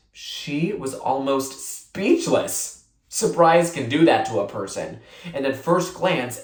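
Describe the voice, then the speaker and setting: Read-aloud narrator for children's fiction. Animated, deliberate delivery, roomy sound. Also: medium pitch. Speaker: storybook reader. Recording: roomy echo.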